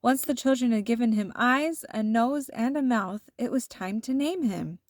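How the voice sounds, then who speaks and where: A woman narrating a children's storybook aloud in an expressive, deliberate storytelling manner. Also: a little high, no room sound, studio quality.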